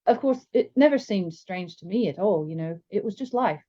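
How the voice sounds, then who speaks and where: A woman's voice: medium pitch, animated, moderate pace, warm tone, natural voice. A woman voicing a modest, friendly young wizard boy in a storybook, casual and understated. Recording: slightly dull, lo-fi sound.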